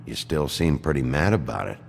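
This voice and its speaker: Very deep, gravelly detective. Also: male voice, lively intonation, fairly quick, slight background noise, exaggerated voice. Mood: dry, needling. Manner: terse, dry, controlled. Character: gruff, dogged male detective pressing a suspect. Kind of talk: video game voice acting.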